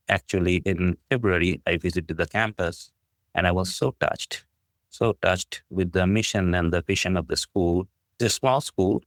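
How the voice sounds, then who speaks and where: Very low-pitched Bangladeshi-accented man. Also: no echo. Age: middle-aged. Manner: earnest, appreciative, frequent "like, you know". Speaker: podcast guest, business school dean. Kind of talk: conversational interview answer, telling personal story.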